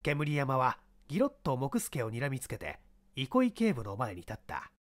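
A man's voice: mid-range voice, huge pitch swings, moderate pace, no room sound. Man narrating a mystery story.

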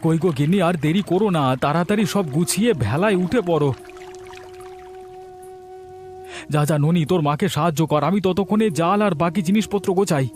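A man's voice: sits high, fast talker, hardly any pauses, a little mellow, natural voice. A man voicing a village fisherman and father in a cartoon, plain and practical. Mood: urgent. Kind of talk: cartoon voice acting. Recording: faint music under the voice.

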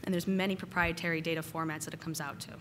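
Slightly hoarse woman giving a tech talk. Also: sits low, level tone, quick pace, a bit bright, a little hiss or hum. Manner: casual, frequent "uh" and "um" fillers. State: getting over a cold, slightly hoarse. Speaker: conference speaker. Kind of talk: technical conference talk. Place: conference hall.

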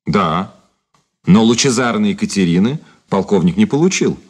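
A male actor playing a cynical, mocking former detective officer in a Soviet radio drama, with a theatrical, measured delivery. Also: fairly low pitch, expressive, darkish tone, breathy edge. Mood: grave, measured.

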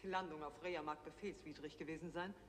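A woman's voice: deep voice, fairly even intonation, fast talker, lightly acted. A woman in her thirties playing a proud space general in a 1960s television drama, formal, cutting and sardonic. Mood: sardonic, indignant. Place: large hard-walled office set. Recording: reverberant studio set, faint noise.

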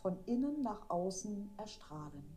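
A woman guiding a meditation in a gentle, deliberate, soothing manner. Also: medium pitch, sing-song. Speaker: meditation guide. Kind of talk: guided meditation narration. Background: meditation music.

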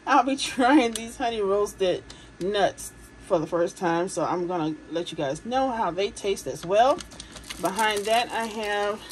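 A woman vlogger chats easily to her viewers about her shopping, calling them "you guys" and "y'all". Her voice sits at an everyday middle pitch. A faint room hum stays underneath. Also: low-quality recording. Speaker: vlogger showing a grocery haul. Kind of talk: casual chat to camera.

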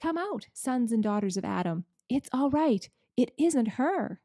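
A woman voicing an excited male talking beaver who shouts high and drawn out in a storybook reading. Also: normal speed, no echo, studio quality. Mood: excited, delighted.

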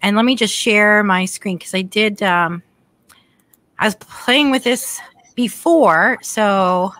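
A woman's voice: mid-range voice, slow, no echo. A middle-aged woman hosting a casual spiritual chat over a video call from her home, talking chattily and reading scripture aloud. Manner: chatty, warm affirmations, reads scripture aloud. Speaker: podcast host. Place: home room, indoors. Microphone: desk mic on video call.

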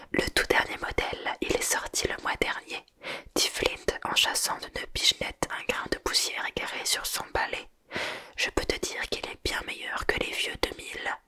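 A storyteller whispering a novel aloud in French in a breathy, unvoiced ASMR style, measured, with acted dialogue. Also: bright, forceful tone. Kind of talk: whispered audiobook reading, ASMR.

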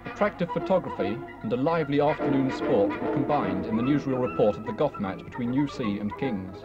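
British guy doing newsreel voiceover, scripted, muffled old film sound, really loud music under him. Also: mid-range voice, wildly swooping pitch, a little fast. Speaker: newsreel narrator. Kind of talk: scripted narration.

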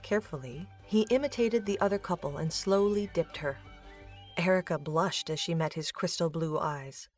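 A woman narrator reads a scripted story in a slightly low voice. Soft music plays behind her narration. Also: normal speed.

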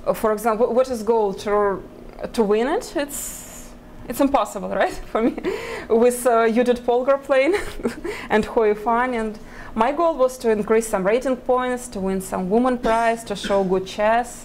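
A woman in her thirties whose first language is Russian answers an interviewer's questions in careful, halting English. She pauses often and breaks into short laughs between phrases. A faint hiss sits under her voice, recorded on a desk mic in an indoor press area. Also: a little high, a little mellow. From Ukraine. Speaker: chess player guest. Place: indoor press area before a sponsor backdrop. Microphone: desk microphone.

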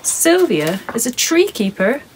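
A woman in her thirties reading her picture book aloud to children in a soft, calm, measured way, recorded in her home studio. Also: fairly high pitch, a little hiss or hum, low-quality recording.

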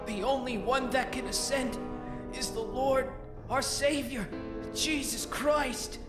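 A man's voice: very high-pitched, fairly even intonation, slow pace. A man voicing a preachy, pious hedgehog hero in a comedy fandub. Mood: protesting. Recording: long reverb, music behind.

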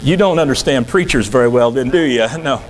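A man's voice: mid-range voice, brisk, poor audio. A man in his sixties, a guest minister, preaching an earnest, conversational sermon from the pulpit of a church.

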